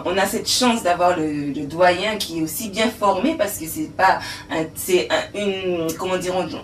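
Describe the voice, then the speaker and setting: Formal woman hosting, echoey room. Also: on the low side. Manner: formal, flowery introductions. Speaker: TV show host. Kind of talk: broadcast interview hosting. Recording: echoey room, distant mic, faint noise.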